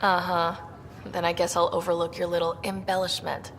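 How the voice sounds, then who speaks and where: An actress playing a plucky, teasing young woman with a creaky voice in a sci-fi video game. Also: fairly low pitch, little pitch movement, normal speed, fairly bright tone. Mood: wry. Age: young adult. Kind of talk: naturalistic video game cutscene acting. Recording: echoey reverb with clear background noise.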